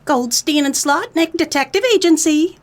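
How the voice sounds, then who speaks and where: A woman's voice: high voice, normal speed, natural voice. A woman playing a dim-witted, doting secretary to a 1940s detective in a comedic noir radio play. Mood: chirpy, clueless.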